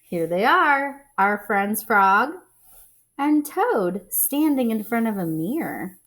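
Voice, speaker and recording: A woman reading a children's picture book aloud, expressively, pausing to explain and put questions to young listeners. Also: fairly high pitch, sing-song, a bit bright. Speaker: read-aloud storyteller and teacher-like reader.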